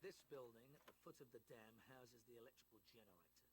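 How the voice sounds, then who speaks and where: A man acts as a British army officer in a World War II video game. He delivers a mission briefing in a businesslike, clipped way at a middling pitch, with clear noise and ambience behind him. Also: fast, natural voice. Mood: brisk, businesslike. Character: brisk no-nonsense British WWII army officer giving briefing. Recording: noisy game audio, ambient sound behind.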